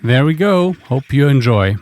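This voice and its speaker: A man's voice: mid-range voice, animated, measured pace, a little mellow. A man giving a casual screencast tutorial as a developer, informal and understated, thinking aloud. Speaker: software developer presenting own tool. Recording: noticeable background noise.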